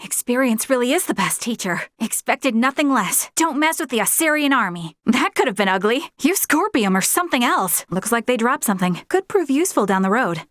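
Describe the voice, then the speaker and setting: A woman voicing an earnest, determined young female soldier in a video game, with spirited, crisp military delivery. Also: on the high side, fairly bright tone, no room sound, studio quality. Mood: earnest, upbeat. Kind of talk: video game battle voice lines.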